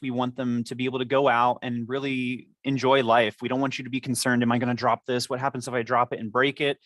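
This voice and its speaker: A man's voice: mid-range voice, fairly bright tone, dry recording. A man giving conversational explanations as a device company representative with repair experience.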